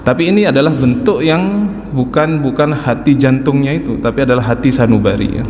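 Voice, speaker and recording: A man in his forties giving a calm, explaining religious lecture at a mosque study circle, often adding an affirming "ya". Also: medium pitch, brisk, a little hiss or hum. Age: 40s. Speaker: religious teacher. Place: large mosque hall. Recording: echoey mosque hall with faint ambience.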